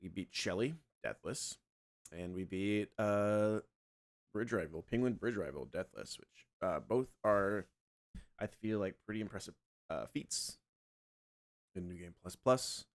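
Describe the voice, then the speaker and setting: A young man streaming a game and chatting loosely with his viewers, his voice a little scratchy from yelling the night before. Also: low voice, measured pace. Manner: relaxed, rambling, talks to chat. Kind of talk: casual livestream chat.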